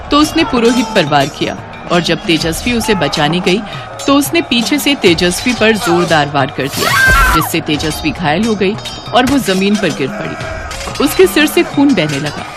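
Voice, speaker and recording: A woman's voice: medium pitch, huge pitch swings, moderate pace, over music. A woman narrating a scripted fantasy story in a dramatic style.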